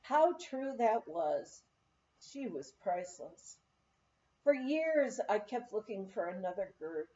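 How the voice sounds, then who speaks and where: A slightly muffled recording of a woman narrating a memoir. Her voice is mellow and on the high side, and she tells the story at a slow, unhurried pace, as an audiobook reader would. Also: big pitch swings, a little hiss or hum. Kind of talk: scripted narration of a humorous memoir.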